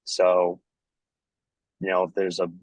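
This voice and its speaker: A man who plays in a band, answering an interview in a relaxed, casual way with lots of "like" and "you know". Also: low voice, takes it slowly, no room sound, rough recording. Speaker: band member guest. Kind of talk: casual chat.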